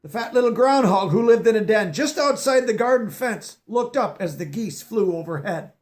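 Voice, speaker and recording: A man's voice: high-pitched, animated, normal speed. A man reading a children's picture book aloud, stopping to explain words in a teacherly way. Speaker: storybook reader.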